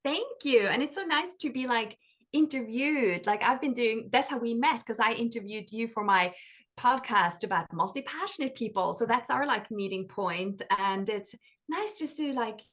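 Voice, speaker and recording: A chatty woman from Norway, guest on an interview, with a fairly high voice. She talks loosely and warmly, with plenty of filler words and small laughs. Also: expressive, moderate pace. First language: Norwegian. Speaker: guest, life coach and author. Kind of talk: casual interview storytelling.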